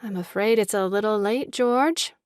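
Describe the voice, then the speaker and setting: An actress playing a kind-hearted, girlish young woman in a stage farce, speaking in a gentle, coaxing way. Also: medium pitch, moderate pace, smooth, no room sound, clean studio sound, close to an everyday voice. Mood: mild reproach. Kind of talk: stage farce audio drama acting.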